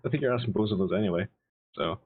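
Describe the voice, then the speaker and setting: A man's voice: fairly low pitch, very fast, slightly rough. A man playing a tabletop roleplaying game online, bantering playfully and flirtatiously in character. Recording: tinny online call audio.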